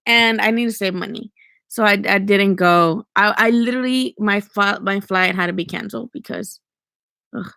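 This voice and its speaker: A woman's voice: mid-range voice, normal speed, vocal fry, pushed and bright, no echo. Chatty young Mexican woman, dry sound. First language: Spanish. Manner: chatty, opinionated, swears casually, many fillers. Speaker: boxing streamer answering chat. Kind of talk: casual livestream chat. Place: at home.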